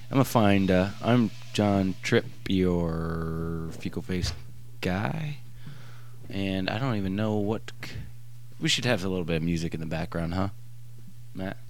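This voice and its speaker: A man hosting a casual interview, rambling and joking with many fillers. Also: low voice. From Ohio, USA. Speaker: podcast host.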